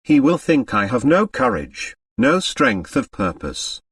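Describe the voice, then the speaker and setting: A man's voice: medium pitch, sing-song, unhurried, fairly bright tone, breathy edge, dry recording, studio quality, far from the mic, full character voice. A man voicing a distraught, wealthy young married woman in an audiobook, agitated and confessional. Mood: anxious.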